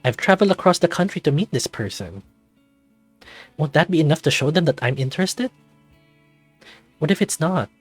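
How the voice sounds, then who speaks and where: A man's voice: on the high side, animated, normal speed, long pauses, no room sound, faint music behind. Man voicing an anxious, confessional thirty-something man. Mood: insecure, pleading. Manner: introspective, confessional, dramatic reading. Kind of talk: first-person visual novel narration.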